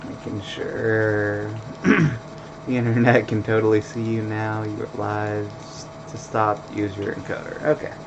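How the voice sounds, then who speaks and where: A man commenting on his game stream in a flat, monotone way, taking his time with a slow, unhurried pace. His voice sits on the lower side. A clear air-conditioning hum runs underneath. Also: lo-fi sound. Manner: monotone, laid-back with fillers. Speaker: let's play streamer. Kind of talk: casual gameplay commentary.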